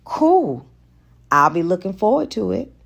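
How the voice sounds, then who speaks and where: Woman reading as a smooth, flirtatious married businessman. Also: mid-range voice, big pitch swings, measured pace, exaggerated voice. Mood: confident, teasing. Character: flirtatious, confident married businessman in a romance novel.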